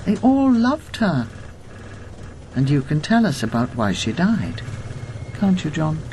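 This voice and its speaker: Steely elderly woman with lively intonation. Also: deep voice, a little hiss or hum, exaggerated voice. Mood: cold, accusing. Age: 70s or older. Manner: precise, deliberate, coldly insistent. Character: steely, accusing elderly woman, former wartime intelligence officer. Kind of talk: radio drama acting.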